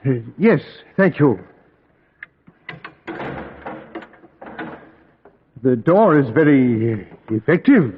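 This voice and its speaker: A man's voice: medium pitch, sing-song, unhurried, slight background noise. A man playing a polite, uneasy Englishman who speaks and narrates with precise diction in an old-time radio play. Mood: nervous. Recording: dull vintage radio recording, music behind.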